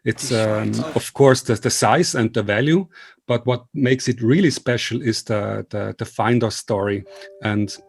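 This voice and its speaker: Swiss man, earnest and halting interviewer. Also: mid-range voice, vocal fry, slightly rough. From Switzerland. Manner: earnest, polite, halting speech. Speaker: interviewer and video series host. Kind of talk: informal remote interview.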